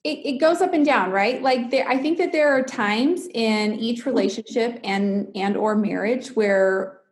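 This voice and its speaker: A woman's voice: a little high, unhurried. A woman in casual discussion as a podcast guest, speaking hesitantly as she searches for her words. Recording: echoey, roomy pickup.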